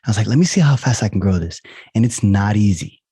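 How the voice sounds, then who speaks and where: A man's voice: lowish voice, expressive, fast talker, warm tone, slightly rough, no echo, clean studio sound. A middle-aged man hosting a casual podcast interview, rambling and restarting his words often. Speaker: podcast host.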